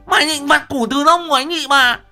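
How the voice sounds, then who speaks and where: A man's voice: extremely high pitch, a little fast, pushed and bright, faint music behind, pitched above the performer's own voice, heavily acted. A man voicing a jealous, hot-tempered village woman who screams threats while swinging a hammer. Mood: furious. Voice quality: shrieking.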